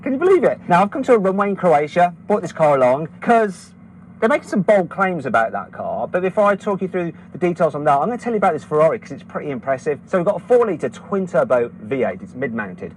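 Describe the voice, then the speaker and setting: Man, Midlands accent, car vlog host, excitable, animated pitch. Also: fast, slight background noise, rough recording. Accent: English Midlands. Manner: excitable, laughs often, exclaims loudly. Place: outdoors on an airfield runway.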